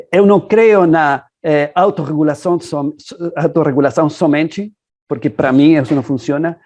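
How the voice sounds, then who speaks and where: Lawyer, dark voice. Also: male voice, a little high. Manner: measured, hesitant with many fillers. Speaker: panelist. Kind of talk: panel discussion answer. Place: online webinar.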